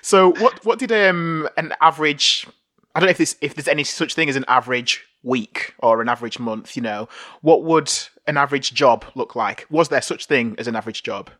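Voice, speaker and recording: A man hosting a podcast interview over a video call, enthusiastic and affirming, quick to laugh. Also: a little high, sharp tone, no echo, clean studio sound. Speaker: podcast host.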